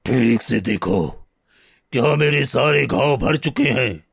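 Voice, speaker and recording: A man voicing a proud, wounded lion in a cartoon story, speaking solemnly and deliberately. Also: mid-range voice, expressive, creaky, slightly rough, dry recording, clean studio sound, full character voice. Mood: solemn, reproachful. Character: proud, wounded male lion, loyal friend turned stern. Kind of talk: scripted Hindi cartoon story dialogue. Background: background music.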